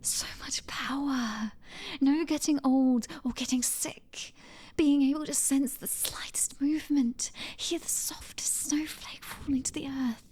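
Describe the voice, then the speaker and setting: A woman with a British accent playing a young, clingy, devoted vampire sister in an ASMR roleplay, speaking in a soft, breathy, halting whisper. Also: sits high, fairly even intonation. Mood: proud, elated. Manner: halting, tender, pleading.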